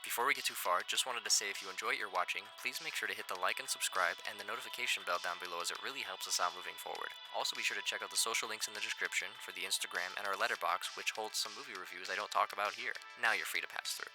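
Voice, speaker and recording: A man's voice: lowish voice, racing, few pauses, poor audio. A man giving scripted video commentary as the host of the show. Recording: music under the voice. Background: background music.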